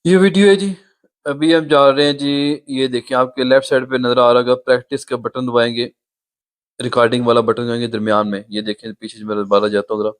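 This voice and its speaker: A man hosting a step-by-step app tutorial, patiently pointing things out on the screen, recorded on a phone. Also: medium pitch. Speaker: YouTube tutorial host.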